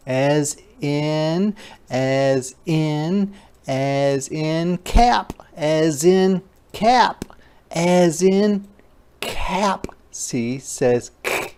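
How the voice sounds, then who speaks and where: Very slow, repetitive early-reading teacher, sex unclear. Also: mid-range voice, darkish tone. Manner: deliberate, repeats sounds and words for learners; child-directed explanatory asides. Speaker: teacher reading aloud to young children. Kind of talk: interactive phonics read-aloud lesson.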